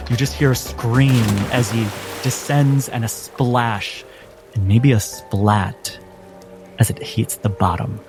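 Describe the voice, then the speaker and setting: A man acting as game master, narrating a tabletop game with dramatic, deliberate phrasing. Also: on the low side, vocal fry. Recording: music behind the voice. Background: background music.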